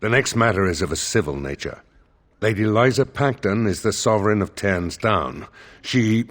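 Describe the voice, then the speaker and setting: A stern male seneschal for a fantasy game. His voice is low and rough around the edges. He speaks formally and with measure, with a dry, wry edge. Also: expressive, normal speed. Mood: grave, advising. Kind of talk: video game voice acting.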